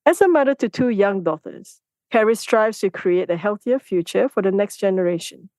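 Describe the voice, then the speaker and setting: A woman at a middle pitch hosts a podcast interview. Her voice sounds dry and close, with no room around it. She moves from a read introduction into easy chat. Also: lively intonation, normal speed, a little mellow, dry recording, poor audio. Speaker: podcast host.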